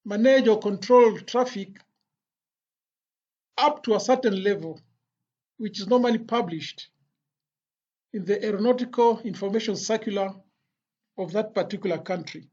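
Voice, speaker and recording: An instructor giving an explanatory lecture in a deliberate, phrase-by-phrase way with pauses. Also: mid-range voice, a little mellow. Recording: dull, lo-fi voice recording.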